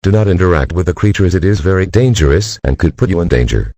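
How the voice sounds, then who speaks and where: Super low raspy guy doing a emergency alert voice, formal and robotic, reading an official broadcast. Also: lively intonation, fast, mellow, no room sound. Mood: impersonal, official. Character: impersonal male emergency alert announcer.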